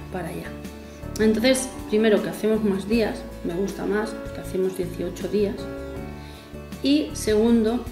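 A woman, chatting casually to camera in a rambling way with self-mocking asides. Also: mid-range voice. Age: 40s. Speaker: vlogger. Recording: echoey room with faint music.

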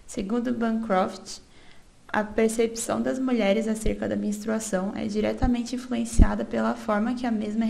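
Gravelly young Brazilian woman presenting research. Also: fairly high pitch, darkish tone. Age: young adult. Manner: reads steadily from notes with small restarts and filler "é". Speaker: student presenter. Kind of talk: reading a research presentation over slides.

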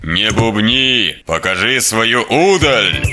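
A man playing a brash young bogatyr in an animated cartoon, declamatory, boastful and quick to challenge. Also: on the low side, soft background music, full character voice. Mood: bossy, hearty.

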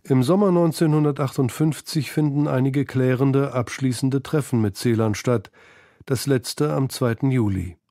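A man's voice: medium pitch, moderate pace, warm tone, dry recording, studio quality. A man reading scripted narration for a literary documentary feature.

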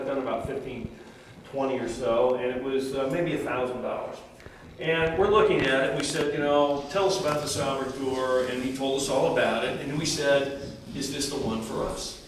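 A man on a collectors' panel, chatting easily and telling stories. He sounds far away in a hard, echoing room, with a faint room hiss underneath. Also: mid-range voice. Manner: chatty, anecdotal, quick to laugh. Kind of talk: casual panel discussion, storytelling. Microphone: far camera.

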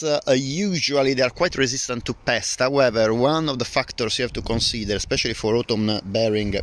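Low-voiced man filming his allotment. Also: a little hiss or hum. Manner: hesitant, many fillers and restarts. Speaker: gardening vlogger. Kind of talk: casual walkthrough vlog. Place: outdoor allotment among fruit canes.

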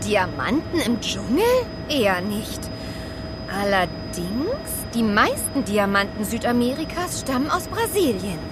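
High-voiced clever girl adventurer. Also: very animated, measured pace, music in the background. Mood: thoughtful. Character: bright, knowledgeable young girl adventurer. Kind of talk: children's audio drama acting.

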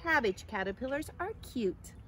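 A woman's voice: high voice, expressive, normal speed, a bit bright, a little hiss or hum, voice pushed up. A woman voicing a friendly young male caterpillar in a storybook, kind and encouraging. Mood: gently scolding. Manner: encouraging, comforting.

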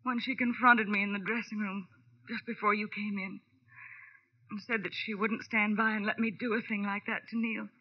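A young American nightclub performer played by a woman, guilty and desperate, in a 1940s radio melodrama. Her voice is husky and breathy with a strong emotional edge. The old recording is dull and narrow. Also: medium pitch, flat delivery, moderate pace, natural voice. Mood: confessing, tense.

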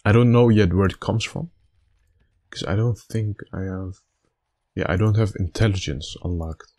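A man with a slightly raspy, stuffed-up voice giving casual gameplay commentary, thinking aloud in a halting way. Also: sits low, a little mellow. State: stuffy nose after being sick. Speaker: gaming video host.

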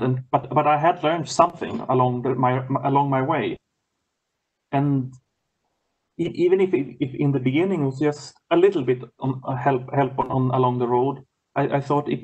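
Swedish man, non-native English, halting speech with frequent restarts, guest on a video call. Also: medium pitch, a little mellow, breathy edge, poor audio. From Sweden. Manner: halting, many restarts and repeated words. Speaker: guest lecturer.

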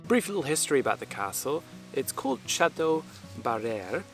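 A young man talks in the relaxed, informal manner of a vlogger explaining his days. His voice is creaky, with a clear gravelly fry, and music plays clearly behind it. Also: fairly high pitch, moderate pace. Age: young adult. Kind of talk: casual vlog narration.

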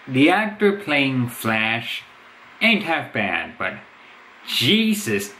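A man's voice: mid-range voice, bright, forceful tone, a little hiss or hum. A young man giving casual commentary as the host of a reaction video, recorded in a room at home. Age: young adult.

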